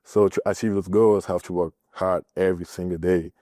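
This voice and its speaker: A young man from Cameroon, a college basketball player giving a podcast interview, speaking haltingly with many fillers. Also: deep voice, fairly even intonation, mellow, no echo, studio quality. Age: young adult. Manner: halting, filler-heavy, nervous.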